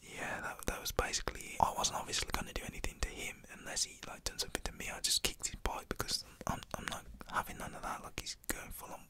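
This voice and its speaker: A man's voice: fast talker, hardly any pauses. A young man telling a personal story in a casual, slang-filled, fully whispered voice, full of fillers.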